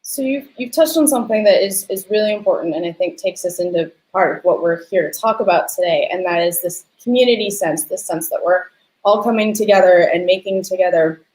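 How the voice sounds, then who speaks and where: A woman's voice: lowish voice, brisk, a little mellow. A woman hosting a conversational panel interview, effusive in how she talks. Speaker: host, interviewer. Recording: echoey room sound.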